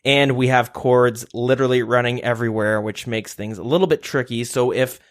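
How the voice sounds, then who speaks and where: Young male podcast host, relaxed, reads the news. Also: mid-range voice, moderate pace. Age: young adult. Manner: steady, runs the show and leads topics. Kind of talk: casual chat, reading news. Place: shared room, two mics.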